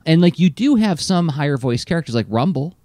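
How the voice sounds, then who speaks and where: A man co-hosting a podcast in casual chat, animated and full of fillers. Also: a little high, brisk, no room sound. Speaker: podcast co-host.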